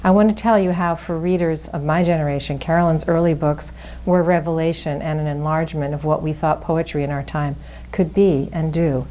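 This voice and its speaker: A woman moderator with a soft voice giving a formal, measured introduction in literary phrasing. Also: low-pitched, fairly even intonation, slight background noise.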